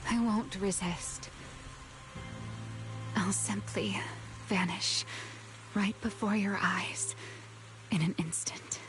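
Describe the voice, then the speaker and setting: An actress playing a weary, mysterious female fugitive who speaks slowly in a breathy half-whisper, in a game cutscene. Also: medium pitch, steady tone, a bit bright. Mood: languid. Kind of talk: video game voice acting. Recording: game cutscene with music behind.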